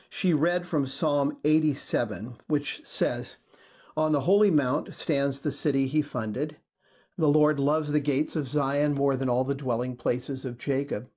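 A man's voice: on the high side, darkish tone. Earnest man reading scripture on a podcast. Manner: earnest, thoughtful, expository. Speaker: podcast co-host. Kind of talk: casual discussion, reading scripture aloud.

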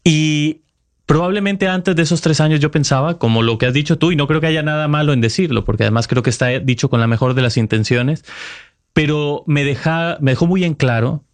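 A man's voice: a little high, a little fast. A Costa Rican man in his thirties, a correspondent giving thoughtful, earnest answers in an interview. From Costa Rica. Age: 30s. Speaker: Vatican correspondent, guest.

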